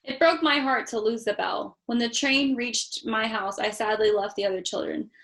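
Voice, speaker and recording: Higher-voiced woman reading to young children. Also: moderate pace, poor audio. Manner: expressive, talking directly to young children. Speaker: storytime reader. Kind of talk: children's picture book read-aloud with chatty asides.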